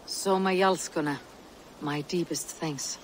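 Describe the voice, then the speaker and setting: A woman's voice: deep voice, steady tone, takes it slowly, a little hiss or hum, poor audio. An actress playing a stoic but gracious Viking warrior woman in a video game, restrained and plain-spoken. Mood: grateful. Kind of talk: video game cutscene acting.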